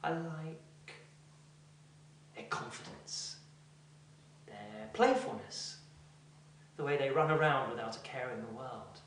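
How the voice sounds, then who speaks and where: A man's voice: mid-range voice, expressive, slow, pauses often, a bit bright, slight background noise. Man acting a creepy, brooding character, echoey room. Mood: sinister, hushed. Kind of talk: dramatic monologue. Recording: echoey room, distant mic.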